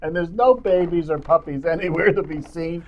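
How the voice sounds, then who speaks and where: A man's voice: fairly high pitch, moderate pace, dull audio. A man co-hosting a talk show, grumbling and complaining in casual banter.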